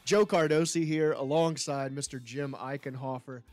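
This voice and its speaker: A man's voice: medium pitch, fairly even intonation, normal speed, a little mellow, soft background music. A man hosting a sports podcast in a casual, chatty style. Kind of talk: casual chat.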